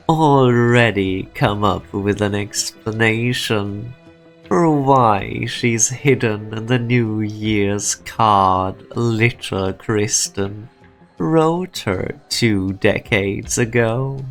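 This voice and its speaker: A man voicing a calculating, sardonic and menacing executive in a game fan dub, speaking deliberately. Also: lowish voice. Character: calculating male corporate executive, sardonic, menacing, pressing for truth. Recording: music behind the voice.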